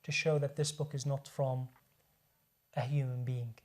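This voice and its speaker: A man's voice: medium pitch, flat delivery, measured pace. Earnest man explaining at length, often restarting. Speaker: guest speaker, medical doctor. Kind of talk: explaining religion in a studio discussion.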